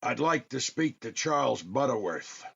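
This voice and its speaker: A man's voice: mid-range voice, expressive, measured pace, creaky, fairly bright tone, a little hiss or hum. A man playing a businesslike messenger in an old-time radio comedy, in a somewhat muffled recording. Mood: brisk. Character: businesslike male messenger delivering news.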